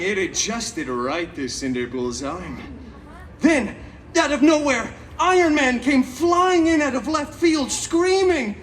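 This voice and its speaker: A man's voice: very high-pitched, exaggerated voice. A man playing a chaotic, cheeky, vain masked antihero who tells a story theatrically to a live audience with sassy, mock-sweet asides. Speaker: theme park stage show performer. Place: theme park stage, recorded from the audience. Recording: amplified live show, distant, crowd noise.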